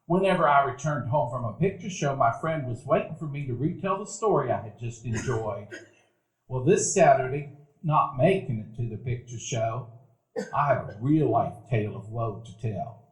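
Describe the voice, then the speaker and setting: A man's voice: mid-range voice, lively intonation, normal speed. A man narrating a live radio play, reflective storytelling. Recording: echoey live theater hall.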